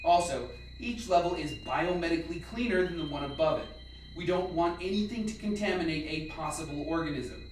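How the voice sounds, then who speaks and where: A man acting in an amateur radio play as a calm, instructive scientist briefing a lab team with measured delivery. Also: a little high, a little hiss or hum, natural voice. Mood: matter-of-fact briefing. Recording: echoey room, distant mic, faint music.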